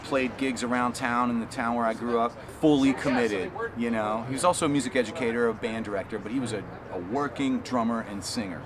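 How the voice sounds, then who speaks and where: A man in his fifties, a working drummer, giving an earnest, reflective interview answer full of "like"s. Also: mid-range voice. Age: 50s. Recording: outdoor street crowd noise.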